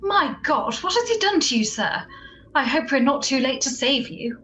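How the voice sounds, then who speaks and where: A woman playing an earnest, bossy gadget-expert sidekick in a comedic radio play, brisk and deadpan. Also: high voice. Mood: alarmed.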